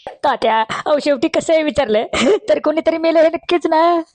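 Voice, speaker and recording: Woman playing high-voiced nosy village boy. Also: moderate pace, very noisy, close to an everyday voice. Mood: impatient. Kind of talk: comedy cartoon dialogue.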